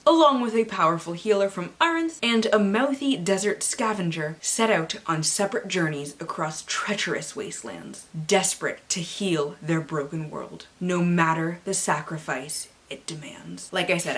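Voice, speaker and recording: Playful, theatrical woman book vlogger, lowish voice. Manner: playful, self-interrupting asides, theatrical swings. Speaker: book vlogger and channel host. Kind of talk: casual chatty book haul vlog with hammed-up dramatic blurb readings.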